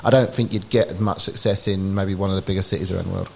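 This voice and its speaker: Fast-talking man, low voice. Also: vocal fry. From United Kingdom. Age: 40s. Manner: lively storyteller who acts out quoted exchanges. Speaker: podcast guest, business founder. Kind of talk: casual interview chat.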